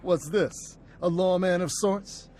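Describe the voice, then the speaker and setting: A man's voice: high-pitched, fairly even intonation, slow, a little mellow. A man playing a showy, boastful gunslinger outlaw, talking in a theatrical, mocking way in video game dialogue. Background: faint game music.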